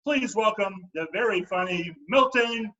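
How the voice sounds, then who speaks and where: A man hosting an online comedy show, introducing acts in a halting way with many fillers. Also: sits high, bright, forceful tone, lo-fi sound. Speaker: show host.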